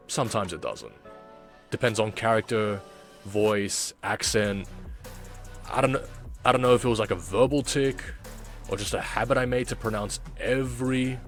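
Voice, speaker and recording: A man giving casual, reflective and self-critical commentary as a video creator and amateur voice actor reacting to his work. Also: medium pitch.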